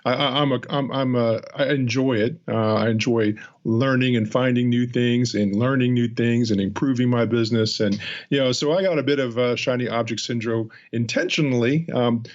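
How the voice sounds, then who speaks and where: A man interviews his guest and drifts from thought to thought in a loose, teasing way. His voice sits at a middling pitch. It comes through a slightly muffled, dull-sounding remote connection. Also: normal speed. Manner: rambling, teasing. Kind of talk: casual conversation.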